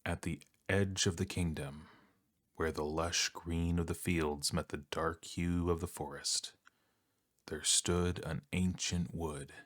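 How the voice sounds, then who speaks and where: Man, deep soft voice, very slow delivery, soothing, long pauses, scripted bedtime story narration. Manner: calm, unhurried, soothing, with long pauses between phrases.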